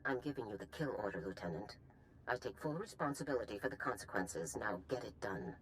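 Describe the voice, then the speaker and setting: A stern middle-aged general from a video game. She is clipped and commanding, with strongly varied pitch. A faint rumble of noise sits behind her. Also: lively intonation, fairly quick, rough recording. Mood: grave, commanding. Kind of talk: scripted video game acting.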